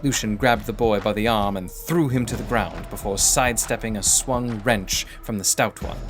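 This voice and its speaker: A man reads a first-person adventure story as its narrator. His delivery is measured and formal with a literary feel, in a mid-pitched voice over music.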